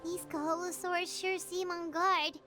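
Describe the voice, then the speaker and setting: A tiny fairy sidekick from a video game, chirpy and childlike. The voice is squeaky and pitched extremely high. Music plays clearly behind it. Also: female voice, little pitch movement, measured pace. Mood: worried. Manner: refers to self in third person.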